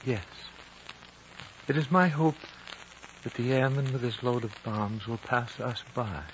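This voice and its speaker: An actor playing an ancient, wise and dying high lama in a 1950s radio drama, solemn, fatherly and measured. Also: fairly even intonation, natural voice. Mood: grave, prophetic. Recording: dull, noisy old radio recording.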